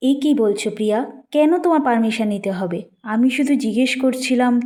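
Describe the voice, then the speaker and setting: A woman's voice: on the high side, fairly quick, a little mellow, natural voice. A woman voicing a polite, lightly teasing young sister-in-law in a cartoon, mild and familiar. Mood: surprised, placating.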